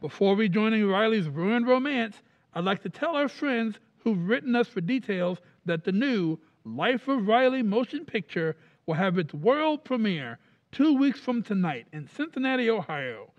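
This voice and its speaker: A man acting an enthusiastic 1940s sponsor announcer. His high voice is polished and eager, with slightly lo-fi sound and music around it. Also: sing-song, moderate pace. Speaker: radio announcer. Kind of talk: 1940s radio announcing and ad reading.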